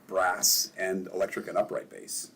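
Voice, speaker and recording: An older man from Maryland, a ward councilman, telling relaxed stories in a casual interview and chuckling often. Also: low-pitched, normal speed, vocal fry, a little hiss or hum.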